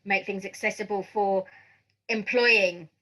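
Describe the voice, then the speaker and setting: A woman's voice: medium pitch, fairly even intonation, measured pace, sharp tone, low-quality recording. A British woman interviewing a guest for a podcast in a conversational style.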